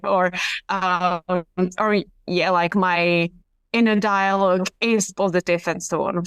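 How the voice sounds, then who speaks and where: Woman, smooth and unhurried. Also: lowish voice, dry recording. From Czech Republic. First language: Czech. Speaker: podcast guest, hypnotherapist. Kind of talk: conversational storytelling.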